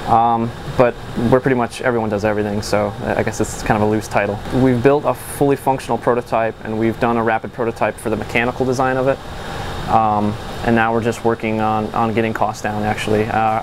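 A man's voice: medium pitch, background noise. A young man serving as a company's technical officer, talking casually in an interview with many fillers. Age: young adult. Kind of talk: interview answers. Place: office with whiteboard, indoors.

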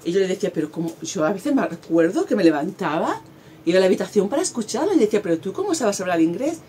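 A woman's voice: mid-range voice, big pitch swings, quick pace, dark tone, slight background noise. A woman in her forties from Spain with a Spanish accent, talking animatedly to camera outdoors in a garden and gesturing as she speaks. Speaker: vlogger. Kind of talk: casual talk to camera.

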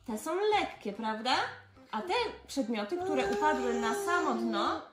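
Young lady showing little kids an experiment, slow n encouraging, roomy sound with music behind. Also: fairly high pitch, lively intonation. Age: 20s. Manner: encouraging, simple instructions and questions. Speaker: presenter of a children's experiment. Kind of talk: guiding small children through a demonstration. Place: living room indoors. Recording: echoey living room, music behind.

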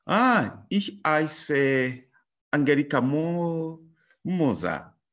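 A man voicing a 65-year-old married German woman, giving slow, halting textbook answers with many fillers. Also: fairly high pitch, very animated, fairly bright tone, muffled recording, pitched above the performer's own voice, full character voice. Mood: answering politely.

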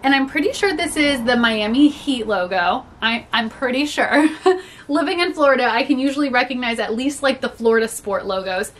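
A woman's voice: high-pitched, animated, normal speed, a bit bright. A young woman, a little congested from a cold, chatting casually and upbeat as she shows off a thrift haul at home. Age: young adult. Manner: chatty, upbeat, frequent "like" and laughs. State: slightly congested. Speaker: reseller vlogger. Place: small home room with storage bins, indoors.

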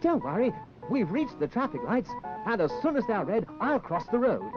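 A dithery young puppet handyman, pitched very high and swooping about in tone. He is eager to help but flustered and hesitant, as in a sixties children's show. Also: sing-song, normal speed. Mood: fussy, helpful. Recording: dull 1960s television film soundtrack, music.

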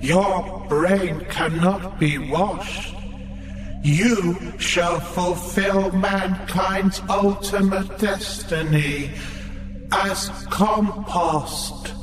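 An actor playing a monstrous alien cactus overlord in a sci-fi comedy, menacing and grandiose, with a portentous, imperious delivery. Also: male voice, high-pitched, steady tone, drawn-out words, echoey room, over music. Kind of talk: sci-fi comedy villain acting.

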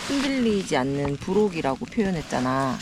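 A woman in her forties, talking slowly and thoughtfully with her husband during a mountain hike. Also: sits low, big pitch swings, noisy background. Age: 40s. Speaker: vlogger. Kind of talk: casual chat while hiking. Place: mountain trail outdoors.

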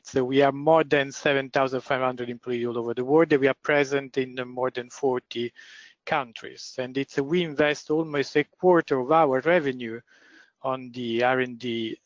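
Halting man lecturing on a dull, dry call. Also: mid-range voice, no echo. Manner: hesitant, many fillers and restarts; loud voice. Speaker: guest lecturer, process engineer. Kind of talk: webinar presentation.

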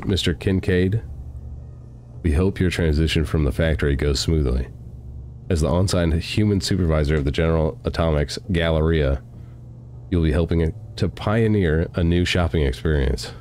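A young man with a very low, deep voice talks through a game in a loose, chatty way. His nose sounds blocked and stuffy from a cold. A faint hiss of noise sits under his desk mic. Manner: chatty, reacts out loud, reads game text aloud. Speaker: gaming streamer. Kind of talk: casual let's-play commentary.

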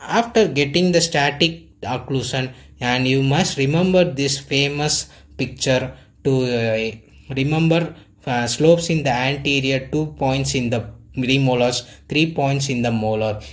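A man's voice: medium pitch, measured pace. A man teaching a dental technique in an instructional voice-over, explaining step by step in an earnest, plain way. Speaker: dentist teaching clinical technique.

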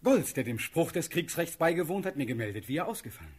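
An actor playing a proud, passionate young prince condemned to death, declaiming verse in a husky, rough voice in a radio play. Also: male voice, fairly high pitch, very animated. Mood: defiant, trusting. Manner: declamatory, impassioned, pausing for effect.

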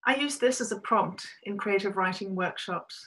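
A woman's voice: medium pitch, a bit bright, poor audio. A middle-aged woman from Australia, a writer giving a prepared, reflective talk to camera from a small room over a webcam. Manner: measured, reflective storytelling.

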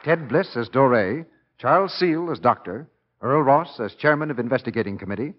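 Animated American man, vintage radio announcer. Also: mid-range voice, normal speed. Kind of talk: 1940s radio announcing and advertising. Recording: muffled 1940s radio broadcast.

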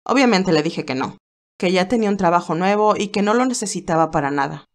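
A woman voicing a cheerful but anxious young nurse who talks in a lively, hesitant way. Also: fairly low pitch, fairly quick, lightly acted. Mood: worried.

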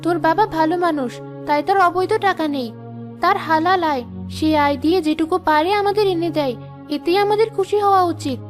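A woman acts a pious, sensible cartoon mother with a high voice. She talks in a measured, admonishing way, firm but patient. Music is clearly heard behind her. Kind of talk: cartoon voice acting, moral story.

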